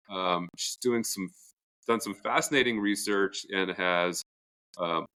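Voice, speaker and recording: A man co-hosting a podcast in an informal, conversational way, with halting, pause-filled introductions. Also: deep voice, fairly bright tone, smooth. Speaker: podcast co-host.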